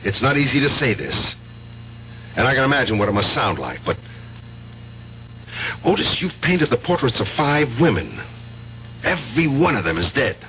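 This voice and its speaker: An American actor playing an insistent, accusing, protective brother in a theatrical 1970s radio drama style. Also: male voice, medium pitch, very animated, moderate pace, long pauses. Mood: grave, insistent. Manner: forceful, emphatic, theatrical delivery. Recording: muffled, hissy 1970s radio recording.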